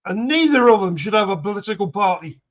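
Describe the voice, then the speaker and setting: Older man, dry and blunt, high-pitched voice, dull lo-fi line. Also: animated, fast. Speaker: panel guest. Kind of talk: casual panel chat.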